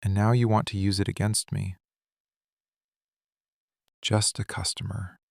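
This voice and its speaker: A man playing a possessive, brooding mafia boss lover in a scripted boyfriend ASMR roleplay, menacing and commanding, with deliberate dramatic pauses. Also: lowish voice, level tone, gravelly, no echo, studio quality. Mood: wounded, intense.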